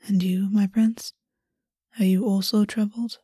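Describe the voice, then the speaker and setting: A woman gives voice to a poised, quietly scheming male courtier in a fantasy audiobook, who speaks in a soft, breathy half-whisper. Her tone is warm and low-key, and the room sounds close and dry. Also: steady tone, normal speed, dry recording, close to an everyday voice.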